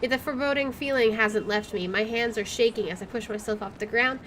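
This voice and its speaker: A woman's voice: a little high, a little fast, a little hiss or hum, rough recording. A woman playing a visual novel, reading the text aloud dramatically and reacting with casual commentary. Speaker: gamer doing a playthrough. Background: soft game music.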